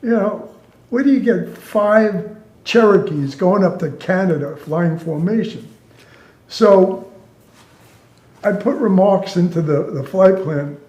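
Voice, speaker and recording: The recording is echoey, and the voice is an older man's, mellow and a little high. He tells work stories casually, like a retired controller, with amusement and quoted back-and-forth. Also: slow, lo-fi sound. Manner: amused, chuckles through own stories, reenacts dialogue. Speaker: retired air traffic controller telling stories. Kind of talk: casual anecdotal storytelling. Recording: roomy, echoey sound.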